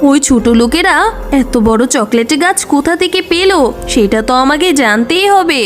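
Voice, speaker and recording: A woman's voice: high-pitched, expressive, moderate pace, soft background music. A woman voicing a greedy, snobbish, scheming vixen shopkeeper in a children's cartoon, haughty and exclamatory.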